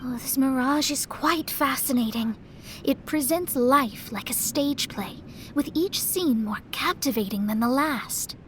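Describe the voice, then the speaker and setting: Game princess: young, proud, theatrical, high voice, slow grandiose delivery, ambient noise. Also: female voice, fairly bright tone. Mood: stately. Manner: grandiose, old-fashioned diction.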